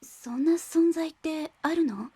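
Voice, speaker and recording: An actress playing an earnest, emotional young woman in a Japanese audio drama, expressive and girlish. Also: high-pitched, measured pace, a little hiss or hum. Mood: amazed. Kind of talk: Japanese anime-style audio drama acting.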